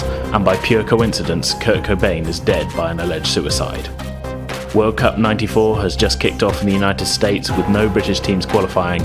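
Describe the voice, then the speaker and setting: A man hosting as game master, narrating a tabletop roleplaying game in a measured way. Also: low voice. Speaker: game master, podcast host.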